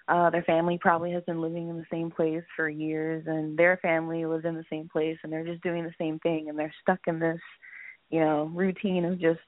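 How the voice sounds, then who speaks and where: A young woman from the Dominican Republic and Czechoslovakia, a guest on a radio show, answering in a measured, slightly hesitant way. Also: fairly low pitch, dry recording. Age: young adult. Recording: dry tinny phone line.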